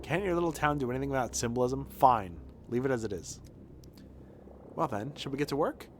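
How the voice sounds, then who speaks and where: A man's voice: mid-range voice, animated, lightly acted. A man plays a haughty city doctor from a video game. He talks in a mid-range voice, curt and dry, with a superior manner. There is some background noise. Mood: dry, impatient. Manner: brisk, dry, condescending. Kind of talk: video game dialogue read aloud.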